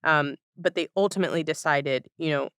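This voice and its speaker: A woman's voice: sits low, brisk, a bit bright, clean tone, dry recording, clean studio sound. A woman hosting a casual podcast conversation, thoughtfully working through long, winding sentences. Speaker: podcast host.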